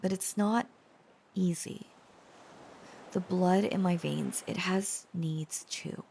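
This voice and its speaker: A woman playing a tender, protective dragon-blooded girlfriend in an intimate romantic audio roleplay, halting and earnest, often slipping into whispers. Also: on the low side, gravelly, warm tone, slight background noise. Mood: earnest, confessional. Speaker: voice actor.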